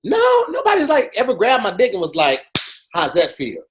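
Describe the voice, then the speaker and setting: Lively high-voiced man riffing. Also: moderate pace, a little hiss or hum. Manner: animated, profane, jokey storytelling with mocking quoted voices. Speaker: podcast co-host. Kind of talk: casual comedic chat.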